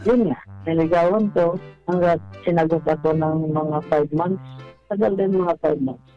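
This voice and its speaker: A man calling a radio show, telling a personal story casually, politely and haltingly. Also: fairly high pitch, fairly even intonation. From Bohol, Philippines. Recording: dull, band-limited phone call. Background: music.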